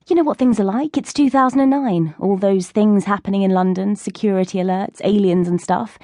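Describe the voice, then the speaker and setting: A woman's voice: medium pitch, fairly quick, warm tone, dry recording, clean studio sound, lightly acted. A woman voicing a cocky, streetwise teenage schoolgirl in an audiobook, with a breezy, bossy, know-it-all manner. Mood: offhand, knowing.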